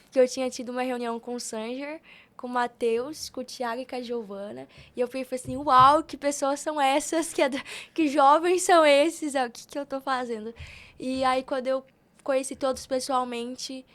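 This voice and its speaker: A woman's voice: sits high, steady tone, normal speed, a bit bright. A young woman with a Brazilian accent chatting informally and telling personal stories on a podcast. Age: young adult. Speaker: podcast guest. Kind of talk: casual podcast conversation.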